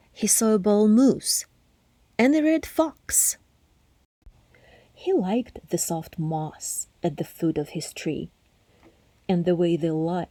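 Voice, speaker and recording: A woman narrating a children's story aloud expressively, with deliberate phrasing and hushed, breathy word endings. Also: mid-range voice, dry recording. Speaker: storybook reader.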